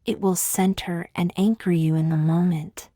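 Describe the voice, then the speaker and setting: A woman leading a scripted guided meditation in a soothing, gentle, measured way, with long pauses and soft, breathy phrase endings. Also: on the low side, steady tone, dry recording, studio quality.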